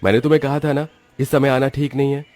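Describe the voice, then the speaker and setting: A man's voice: medium pitch, flat delivery, fast talker, darkish tone, no echo, natural voice. A man voicing a cautious, protective young father and husband in a moral-story cartoon. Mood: wary.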